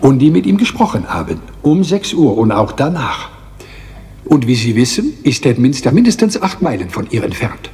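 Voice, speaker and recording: An actor playing a fussy, courteous foreign detective with a French accent, formal and pompous in a German radio crime drama. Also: male voice, medium pitch, sing-song, normal speed, darkish tone, in a large hall. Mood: forceful, triumphant.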